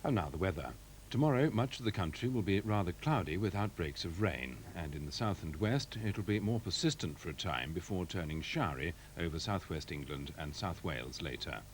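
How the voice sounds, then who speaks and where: A low-voiced male television announcer reads formal, scripted continuity links. His intonation moves up and down freely, over faint background noise. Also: expressive, a little fast. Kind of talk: scripted weather and programme announcements.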